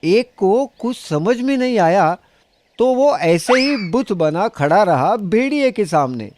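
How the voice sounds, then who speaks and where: A man narrating a scripted children's story in an expressive, dramatic storytelling manner. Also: high voice, slow pace, a little hiss or hum.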